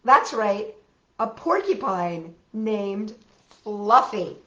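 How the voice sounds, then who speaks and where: A woman hosting a children's storytime, reading picture books aloud with expressive, exaggerated delivery. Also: mid-range voice, very slow, lo-fi sound. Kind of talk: animated picture-book read-aloud and sing-along for young children. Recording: roomy echo with guitar music.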